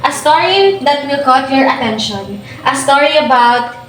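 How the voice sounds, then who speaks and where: A woman's voice: high voice, expressive, a little slow, a bit bright. A woman radio DJ reading a scripted programme introduction. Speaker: radio DJ, presenter. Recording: echoey room, distant from the mic.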